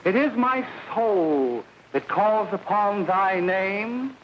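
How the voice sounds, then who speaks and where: Eager, swooping-voiced 1930s schoolboy sweetheart. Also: male voice, sits high, expressive, slow, low-quality recording. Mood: tender, romantic. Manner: gee-whiz, boyish. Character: wholesome, eager, bashful high school boy in love. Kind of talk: 1930s film acting. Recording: hissy 1930s film soundtrack.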